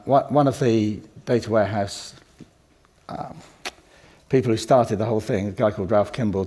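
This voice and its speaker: An older man with a croaky voice from a cold, giving a rambling conference talk full of ums and restarts. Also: fairly low pitch, a little mellow. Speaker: conference speaker. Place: conference room.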